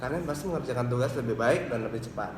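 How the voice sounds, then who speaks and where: A man's voice: mid-range voice, expressive, quick pace. Explanatory male lecturer in an echoey room. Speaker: university lecturer. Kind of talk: interview answers. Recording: echoey room with clear background noise.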